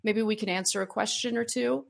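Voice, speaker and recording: A woman's voice: mid-range voice, fairly even intonation, a little fast, creaky. A woman from Los Angeles with Armenian as her first language hosts a casual conversation. She speaks reflectively and hesitantly, with frequent fillers. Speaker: host. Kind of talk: casual chat.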